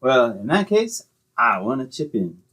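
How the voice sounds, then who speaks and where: A man's voice: mid-range voice, very animated, a little slow, fairly bright tone. A man playing a breezy, cheap, know-it-all boyfriend, glib and self-important, in old-time radio comedy style. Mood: scheming.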